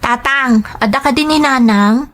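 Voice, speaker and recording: A woman's voice: high-pitched, measured pace, fairly bright tone, voice pushed up. A woman voicing a nagging, pleading young wife in a poor household, in an audio drama. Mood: pleading, apologetic. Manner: nagging, questioning. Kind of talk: serial audio drama acting.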